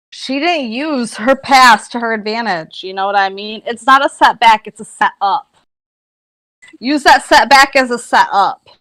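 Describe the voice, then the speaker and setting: A woman with a notably high voice chats on an online call. She rambles from one joke to the next, sometimes in a mock-motivational tone. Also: pushed and bright, rough recording. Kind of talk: casual chat.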